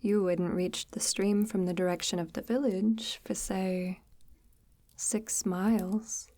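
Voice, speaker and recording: Casting: woman as coaxing forest witch for ASMR roleplay; mellow, breathy, near-whispered, very unhurried, formal, softly menacing. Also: mid-range voice, level tone, natural voice. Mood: probing, sly. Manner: unhurried, formal, archaic-tinged, gently menacing. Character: soft-spoken, sinister, coaxing forest witch luring a lost traveler. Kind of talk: ASMR audio roleplay, slow intimate monologue.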